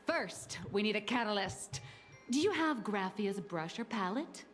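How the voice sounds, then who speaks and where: A woman's voice: mid-range voice, lively intonation, unhurried, faint music behind, lightly acted. An actress voicing a playful, teasing, self-deprecating young woman in an anime-style video game, chatty and theatrical. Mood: playful, scheming.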